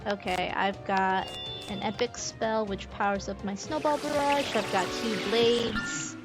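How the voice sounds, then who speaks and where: A woman's voice: medium pitch, steady tone, a little slow. A woman, chatting informally as she commentates on a game livestream. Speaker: game streamer. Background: video game music.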